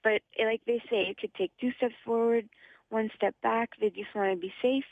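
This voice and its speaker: A young woman from Ottawa with a slightly raspy voice, an upbeat guest calling in to a radio show and laughing often. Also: fairly high pitch, fairly even intonation, brisk, no room sound. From Ottawa, Canada. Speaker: phone-in guest. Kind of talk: casual radio interview. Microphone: phone line. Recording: tinny dry phone line.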